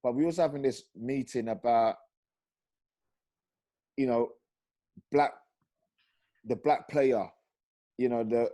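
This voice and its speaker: A man holds forth on a video-call panel. His voice is mellow and dark in tone. He grows more impassioned as he goes, pushing hard on key words. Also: mid-range voice. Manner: impassioned, rising to loud emphatic peaks. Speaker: panel guest, former professional footballer. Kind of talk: passionate panel discussion.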